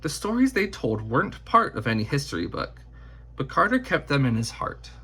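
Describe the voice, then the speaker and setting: A man reading a children's picture book aloud in deliberate, emphatic phrasing. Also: medium pitch, big pitch swings, sharp tone, slightly rough. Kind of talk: scripted storybook read-aloud.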